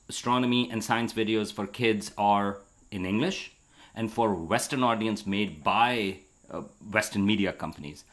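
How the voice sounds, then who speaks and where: Middle-aged man explaining science, slightly low voice. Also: a bit bright. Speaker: astronomy educator and show co-host. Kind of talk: casual conversational science discussion. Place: bright room at a table indoors. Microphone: handheld interview microphone held out to him.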